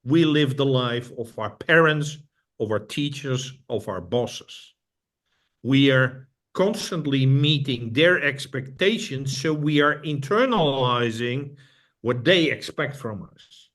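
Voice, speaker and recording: A man's voice: medium pitch, lively intonation, slow, fairly bright tone. A middle-aged man from the Netherlands with a Dutch accent, speaking as an interview guest in a thoughtful, repetitive way with frequent restarts. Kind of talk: casual interview talk.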